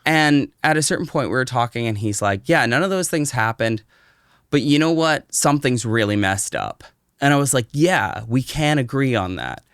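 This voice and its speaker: A man's voice: mid-range voice, lively intonation, normal speed, fairly bright tone, smooth, no room sound. A man speaking as a podcast guest in a conversational storytelling and explaining style, thoughtfully searching for his words, close on the microphone.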